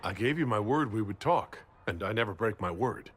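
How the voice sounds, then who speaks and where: Composed commander with lively pitch. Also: male voice, a little fast, husky. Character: composed, dry-witted leader of a holy military order. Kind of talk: video game voice acting.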